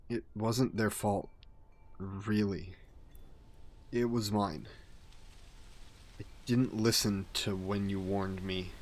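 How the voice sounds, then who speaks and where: A man voicing a grieving vampire king who talks slowly and with remorse about his past. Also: fairly low pitch, darkish tone, a little hiss or hum. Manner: slow, brooding, remorseful. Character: grieving regretful vampire king, former ruler. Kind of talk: audio roleplay narration.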